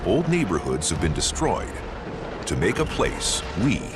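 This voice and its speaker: Deep-voiced man narrating a documentary. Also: big pitch swings, unhurried, over loud music. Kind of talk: scripted narration.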